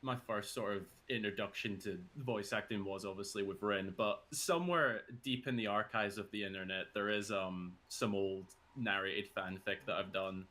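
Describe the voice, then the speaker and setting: A man's voice: fairly low pitch, moderate pace, few pauses, sharp tone. A man on a voice actor panel talking casually in a sheepish, joking way.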